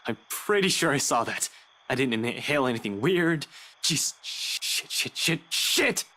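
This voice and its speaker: Woman putting on a gruff, low male cop. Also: animated, unhurried, bright, forceful tone, exaggerated voice. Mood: rattled disbelief. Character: gruff male cop, rattled, swearing, weary. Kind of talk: visual novel character voice.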